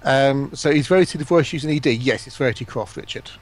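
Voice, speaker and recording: A man's voice: mid-range voice, fast talker. A man talking casually on a stream, putting questions to the others in the group. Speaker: stream participant asking questions.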